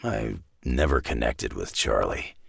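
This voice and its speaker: A gruff, long-lived mutant brawler for a video game. The voice is rock-bottom low and thick with gravelly rasp, recorded close and dry. He talks in blunt, laconic, wry lines full of folksy tough-guy slang. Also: a little slow, a bit bright, dry recording, full character voice. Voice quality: gravelly, raspy growl. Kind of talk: scripted game character voice lines.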